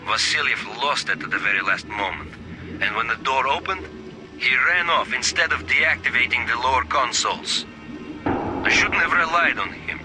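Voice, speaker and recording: An actor playing a weary, bitter lone stalker in a video game, brooding and grumbling to himself. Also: male voice, medium pitch, natural voice, rough recording. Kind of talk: scripted video game monologue.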